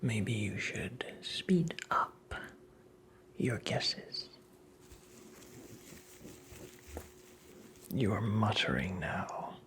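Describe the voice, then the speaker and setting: A performer playing a creepy, teasing, very old male magical imp in a whispered ASMR roleplay, with breathy, creaky, theatrical delivery. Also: medium pitch, animated, fairly bright tone, exaggerated voice. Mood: taunting, impatient. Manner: slow, theatrical, taunting, toying with the listener.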